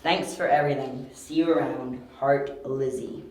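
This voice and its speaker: A man acting a sharp, self-assured young detective in an amateur audio drama, talking in clipped deductions and questions. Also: mid-range voice, fairly even intonation, moderate pace, lightly acted. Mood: investigative. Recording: roomy echo, faint background noise.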